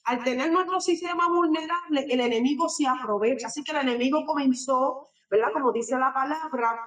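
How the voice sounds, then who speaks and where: A middle-aged woman from Puerto Rico with a Puerto Rican accent, giving a halting religious testimony that is full of fillers as an interview guest. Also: high voice, a bit bright, recorded from a distance. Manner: halting, often tags sentences with a questioning filler. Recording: echoey room, speaker away from the mic.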